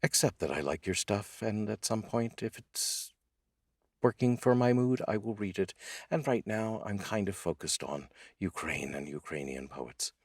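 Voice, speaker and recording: Low, husky man with wry delivery. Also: expressive, measured pace, no room sound, clean studio sound. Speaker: host of a poetry reading series, voice actor. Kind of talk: informal spoken intro and poetry reading.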